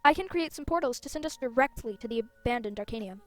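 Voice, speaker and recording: A woman's voice: a little high, animated, fast talker, dry recording, natural voice. A woman voicing earnest, determined young female riders in a fantasy game. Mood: urgent.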